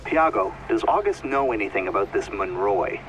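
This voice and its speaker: An actor playing a learned, curious scholar of ancient ruins, with precise formal phrasing, in a video game. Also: male voice, lowish voice, expressive, normal speed, far from the mic, noisy background, lightly acted. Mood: curious, marvelling. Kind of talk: video game cutscene acting.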